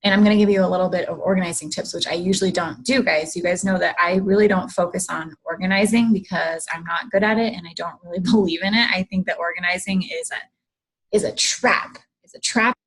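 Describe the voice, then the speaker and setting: A woman's voice: lowish voice, steady tone, brisk, breathy edge, low-quality recording. A woman hosting a casual livestream, chatty, greeting viewers, and losing her voice. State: losing her voice, clearing her throat. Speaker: host of a livestream.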